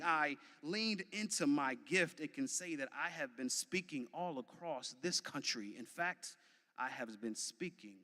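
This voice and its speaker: Forceful American man speaking in a reverberant hall. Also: on the high side. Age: early 30s. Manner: emphatic, deliberate, preacher-like repetition with dramatic pauses. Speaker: graduation commencement speaker. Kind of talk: motivational commencement speech. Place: large venue, graduation ceremony.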